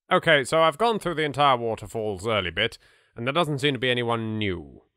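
A man with an English accent giving casual, chatty, reactive gameplay commentary as a let's play host. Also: medium pitch. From England. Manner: animated, reactive, politely chatty. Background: game music.

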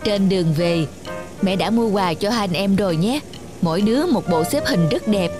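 A woman voicing a gentle, caring young mother who speaks affectionately in an animated children's tale. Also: medium pitch, moderate pace, over music, natural voice. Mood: warm, praising. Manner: affectionate, softly admonishing.

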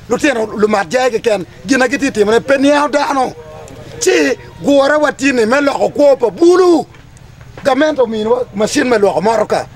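Ugandan male community leader, thirties, impassioned and declamatory, pitched extremely high. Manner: emphatic, declamatory, repetitive phrasing. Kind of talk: impassioned public address to camera. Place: outdoors under trees among a gathering.

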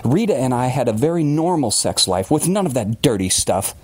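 A man's voice: medium pitch, big pitch swings, brisk, a little mellow, husky, no room sound, voice pushed up. A man playing an agitated, defensive working-class father confessing a horror in blunt, colloquial words, as in an audiobook. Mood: irritated, defensive.

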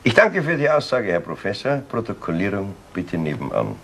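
An actor with a Bavarian accent playing a dry, composed police chief inspector in a 1970s German crime radio play, speaking deliberately. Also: male voice, on the low side, huge pitch swings, vocal fry, fairly bright tone, husky, heavily acted. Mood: dry, polite. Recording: noisy vintage radio drama recording.

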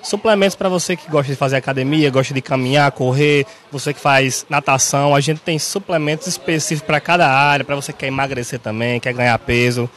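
A young man with a Northeast Brazilian accent giving an on-air sales pitch from a pharmacy into a handheld mic, steady and salesy, often tagging lines with a quick check. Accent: Northeast Brazilian Portuguese. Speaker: pharmacy staff member. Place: large bright pharmacy, indoors. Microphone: handheld station mic held by interviewer.

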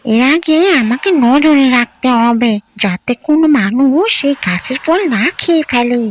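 A woman voicing a vain, scheming female ghost witch in a cartoon, gloating and shrill. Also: lively intonation, normal speed, slight background noise. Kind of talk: cartoon voice acting.

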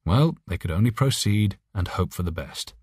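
A man's voice: low-pitched, lively intonation, moderate pace, a little mellow, husky, no room sound, studio quality. A man reading scripted story narration as an audiobook narrator.

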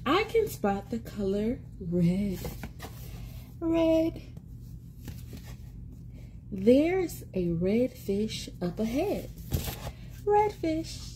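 A woman in her thirties reading a picture book aloud to young children in an expressive, sing-song, encouraging way, repeating words for them. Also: fairly high pitch, very slow, pauses often, a little hiss or hum. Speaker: children's read-aloud host and teacher. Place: small indoor room at home. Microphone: phone or tablet at arm's length.

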